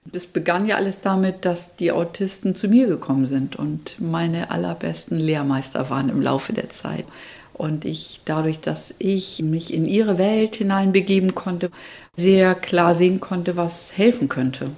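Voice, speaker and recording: Middle-aged female therapist, measured explainer, lowish warm tone. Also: vocal fry. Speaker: child and youth therapist. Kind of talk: interview answers.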